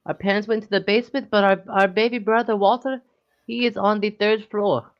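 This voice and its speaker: A game master voicing a frightened young girl who is watching over her little brother, pleading in halting bursts. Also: medium pitch, steady tone, dull audio, pitched above the performer's own voice, lightly acted. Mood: urgent. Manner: anxious, pleading, halting speech.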